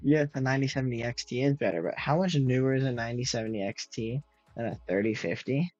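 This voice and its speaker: A young man in his late teens with a loud, projecting voice, rambling casually to his viewers while he games and answers their messages. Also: mid-range voice, fast talker, no room sound. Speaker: streamer. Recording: slightly muffled, faint game music behind.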